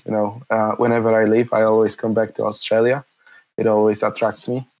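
A man's voice: lowish voice, little pitch movement, brisk, darkish tone, poor audio. A man in his thirties from Poland, Polish his first language, answering interview questions in a hesitant, reflective way with many fillers and restarts.

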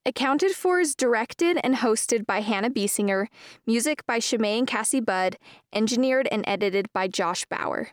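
A young woman hosting a podcast, giving an earnest, measured scripted talk on faith and belonging. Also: a little high, animated, dry recording, clean studio sound. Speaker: podcast host.

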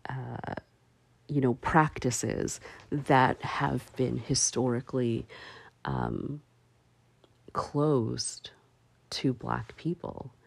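Slow, gravelly-voiced woman talking casually. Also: a bass voice, lively intonation, no echo. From parents from Barbados. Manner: slow, halting, many fillers. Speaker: podcast host. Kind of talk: casual solo talk.

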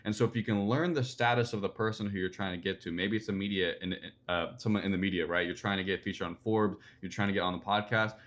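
A man's voice: fairly low pitch, fairly even intonation, fairly bright tone. A young man in his twenties answering interview questions about personal branding, rambling with lots of "like" and "you know". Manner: fast, rambling, filler-heavy with "like" and "you know". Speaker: guest, personal branding speaker.

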